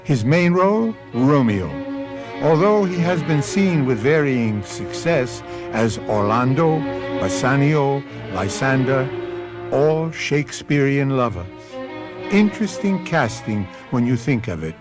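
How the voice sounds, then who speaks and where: A man's voice: mid-range voice, big pitch swings, unhurried. Sardonic male mystery host over music. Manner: urbane, sardonic, theatrical. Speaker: host and narrator. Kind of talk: scripted radio mystery narration.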